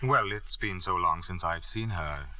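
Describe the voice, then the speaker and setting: An actor playing a principled, quietly disapproving middle-aged doctor in 1950s radio drama, measured and pointed in his delivery. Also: low-pitched, no room sound, full character voice. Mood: polite, pointed.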